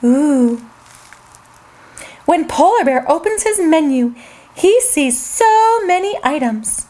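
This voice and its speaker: A woman's voice: high voice, lively intonation, slow pace, mellow. A woman hosting a children's show, reading a storybook aloud in a sing-song way and talking straight to the kids.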